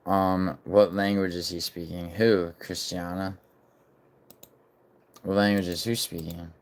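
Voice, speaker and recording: A man's voice: low-pitched, fairly even intonation, unhurried. A young man hosting a casual livestream, rambling, swearing and reading viewer chat aloud. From born in Italy, raised in New York City. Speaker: livestream host.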